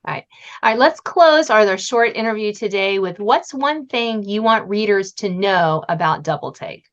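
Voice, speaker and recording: A woman's voice: medium pitch, animated, moderate pace, fairly bright tone. A woman hosting a podcast, speaking in a conversational interview style. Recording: muffled, band-limited audio.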